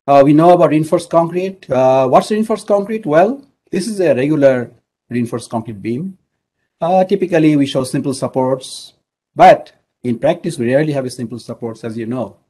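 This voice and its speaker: A middle-aged man from Bangladesh, an engineering professor, giving an online lecture in a measured way with many fillers. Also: a little high, warm tone, husky.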